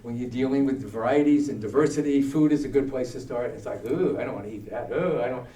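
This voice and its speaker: A man's voice: mid-range voice, fast talker, warm tone. Man giving a talk in an echoey hall. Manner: conversational, asks the audience questions. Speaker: guest speaker. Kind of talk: adult education talk. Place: church hall. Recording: echoey hall, distant mic.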